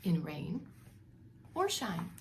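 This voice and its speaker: A woman preschool teacher reading a picture book aloud to young children, expressively, pausing to explain and ask questions. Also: on the low side, sing-song, noisy background.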